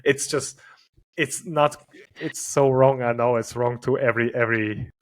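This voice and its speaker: A man's voice: mid-range voice, steady tone, normal speed, rough recording. A man from Switzerland with a Swiss accent, a podcast guest who talks casually with many fillers and restarts. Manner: hesitant, filler-heavy, says "like" often. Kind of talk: casual conversation.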